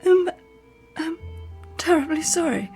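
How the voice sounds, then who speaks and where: An actress playing a repressed, long-suffering wife with formal diction in an emotional old-time radio drama style. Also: female voice, very high voice, fairly even intonation, slow, a little mellow, faint music behind. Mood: shaken, apologetic.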